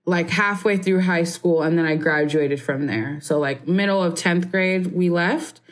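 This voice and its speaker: A woman in her twenties from Barbados talks through her story in a relaxed, meandering way. Her voice sits fairly low. Her sentences wander along, padded with "like" and "um". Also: unhurried. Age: 20s. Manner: relaxed, rambling, heavy use of "like" and "um". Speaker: interviewee. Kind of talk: casual conversational interview.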